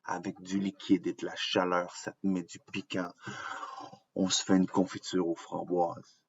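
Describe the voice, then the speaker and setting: A man with a Quebec French accent performs rhythmic slam poetry. His voice is fairly low and stays on a level pitch. The recording is dull, with little room sound. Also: flat delivery, moderate pace, gravelly, breathy edge. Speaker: slam poet. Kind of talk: rhythmic spoken-word slam poetry recital. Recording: dull, lo-fi, dry close sound.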